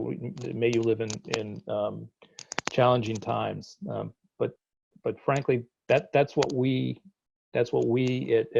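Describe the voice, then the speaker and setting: A man's voice: mid-range voice, gravelly, darkish tone, highs cut off, a little hiss or hum. A man with a Boston accent, a dean hosting a virtual town hall, talking informally with many restarts and fillers over a video call. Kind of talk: informal closing remarks.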